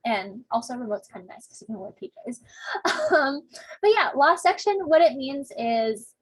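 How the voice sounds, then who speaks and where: A woman's voice: high-pitched, very animated, moderate pace, husky, no room sound, rough recording. A young woman from Southern California, a teaching assistant casually opening an online discussion section over a video call, chatty, with lots of fillers and self-deprecating asides. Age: young adult.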